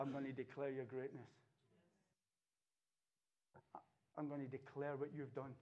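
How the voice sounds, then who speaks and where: A man preaching a sermon, building from quiet earnestness to loud, fervent exhortation. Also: mid-range voice, muffled recording. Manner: impassioned preaching, rising to shouts. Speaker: pastor. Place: church.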